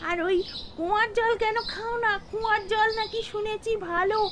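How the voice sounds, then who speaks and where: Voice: extremely high pitch, fairly even intonation, normal speed. A performer voicing an eager, curious young village boy in a cartoon, chatty, on a noisy soundtrack. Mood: eager, conspiratorial. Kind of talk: cartoon horror story dialogue.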